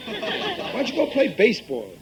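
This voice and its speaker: A man's voice: very high voice, wildly swooping pitch, normal speed, a faint crowd, slight background noise, heavily acted, rough recording. An actor playing a middle-aged, working-class American father, blustering, exasperated and tightfisted, in a 1950s radio sitcom. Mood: grumbling, blustering. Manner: blustering, indignant, folksy grammar.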